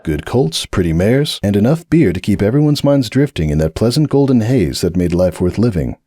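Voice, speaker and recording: A man narrating a dramatic reading of fan fiction in an expressive storytelling manner. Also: lowish voice, normal speed, dry recording, clean studio sound. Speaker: narrator, reader.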